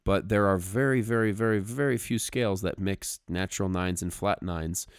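A man co-hosting a podcast, explaining music in casual talk, sometimes halting and repeating words as he thinks aloud. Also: low voice, clear, resonant tone, no echo, studio quality. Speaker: podcast co-host.

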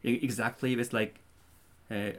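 A man's voice: mid-range voice, level tone, a little slow. A man with a Japanese accent, a native Japanese speaker, casually explaining terms and often searching for words.

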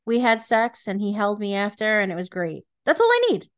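A woman's voice: mid-range voice, sing-song, brisk. Woman, mid-range voice, blunt, slangy, opinionated, casual podcast conversation. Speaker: podcast co-host. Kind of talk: casual book-review chat.

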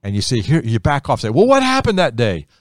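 A man's voice: medium pitch, a little fast, no echo, clean studio sound. Emphatic man teaching Scripture. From America. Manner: animated, emphatic, repeats phrases for effect. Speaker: Bible teacher. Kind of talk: conversational Bible teaching.

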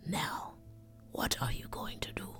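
A woman voicing a calm, tall gray male wolf in a storybook, speaking low, slow and patient in a hushed, breathy voice. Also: whispered, soft background music, natural voice. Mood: calm, guiding.

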